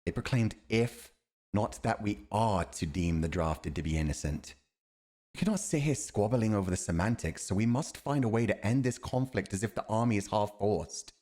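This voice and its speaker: Casting: man to play honourable royal army commander, fantasy roleplay, fairly deep, formal and fervently expressive. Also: moderate pace. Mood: firm. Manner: formal, emphatic, righteous. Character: principled, earnest male army commander advising a monarch. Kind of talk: fantasy audio roleplay acting.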